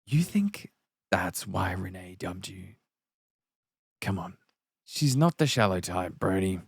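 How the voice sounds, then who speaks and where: A dumped young man grumbles and sighs in a low, downbeat voice as a man acts him out in a table read. He sounds miserable and fed up, recorded dry and close. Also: sing-song, takes it slowly, creaky, breathy edge, dry recording, heavily acted. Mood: miserable, upset. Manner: sighing, downbeat. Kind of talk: table read of a film comedy scene played sad.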